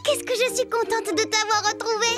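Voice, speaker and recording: A woman voicing a lively little girl who tells a cartoon story in a childlike, chatty, playful way. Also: very high voice, fairly quick. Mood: worried, relieved. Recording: loud music behind.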